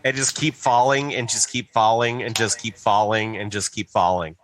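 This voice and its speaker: A man co-hosting a podcast in casual, sweary talk, building up exaggerated points in halting, repetitive phrases. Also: fairly low pitch, fairly even intonation, crowd noise. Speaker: podcast co-host. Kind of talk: casual, profane group chat.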